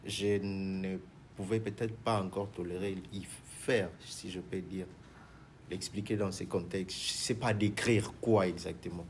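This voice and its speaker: A man's voice: low-pitched, slight background noise. A man, a manager and minister answering in a TV talk show interview, hesitant and mixing in Lingala. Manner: hesitant, many restarts, mixes in Lingala.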